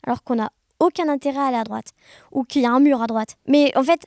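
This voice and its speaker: High-pitched young woman, expressive. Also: fast. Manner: animated, expressive, uses sound words. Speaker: podcast guest. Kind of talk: casual conversational storytelling.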